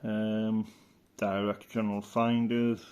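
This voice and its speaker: A man's voice: on the low side, flat delivery, slow pace, darkish tone, smooth. A man giving casual, rambling commentary as he reads through an old catalogue, hesitant and thinking aloud. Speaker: video presenter.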